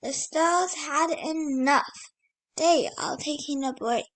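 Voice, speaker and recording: Child, high voice, unhurried reading, picture book read-aloud, spontaneous wondering comments. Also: fairly bright tone, rough recording.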